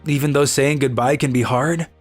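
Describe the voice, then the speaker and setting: A man's voice: medium pitch, fairly even intonation, normal speed. A man playing an earnest, kind schoolboy who narrates his own story in scripted animation style. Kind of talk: scripted narration and voiced dialogue. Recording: music behind the voice.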